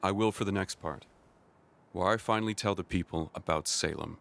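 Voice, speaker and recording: An actor playing a grave, resolute middle-aged military general in an animated drama, measured and authoritative. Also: male voice, sits low, gravelly.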